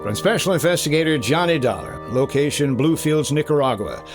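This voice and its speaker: An actor playing a wry, breezy freelance insurance investigator, narrating in clipped hardboiled 1950s radio style. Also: male voice, on the high side, very animated, fairly quick, over music. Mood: wry, suspicious.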